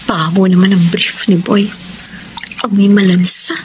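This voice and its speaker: An actress with a Filipino accent playing a suspicious, hot-tempered, nagging wife in a radio drama. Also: female voice, medium pitch, flat delivery, unhurried, noisy background, lightly acted. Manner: nagging, accusatory, interrogating. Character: suspicious, hot-tempered young wife confronting cheating husband.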